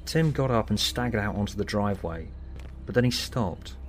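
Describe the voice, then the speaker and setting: Male storyteller, lower voice, scripted narration, faint music behind. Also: animated, normal speed. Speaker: author and narrator.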